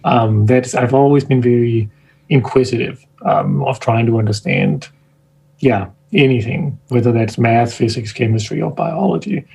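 Danish-raised guy, kinda husky, talks slow and careful, lots of restarts. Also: mid-range voice, warm tone, low-quality recording. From Denmark. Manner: thoughtful, searching for words, frequent restarts. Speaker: guest scientist. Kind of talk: casual interview talk.

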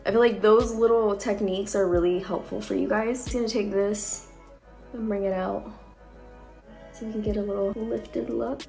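A young woman filming a casual makeup tutorial at home on her phone, chatty with lots of likes and ums, her voice a little deeper from a cold. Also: fairly even intonation, normal speed, vocal fry, mellow, faint music behind. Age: young adult. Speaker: beauty vlogger. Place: bright room at home. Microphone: phone camera at close range.

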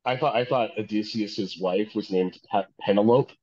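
A man in casual podcast chat over a video call, speaking colloquially with animated repetition. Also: fairly low pitch, normal speed. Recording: dull lo-fi call audio.

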